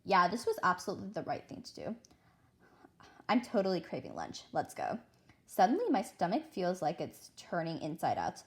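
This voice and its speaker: Animated woman reading a story aloud. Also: on the low side, moderate pace. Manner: dramatic, occasional stumbles over words. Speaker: read-aloud host. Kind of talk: expressive storybook narration.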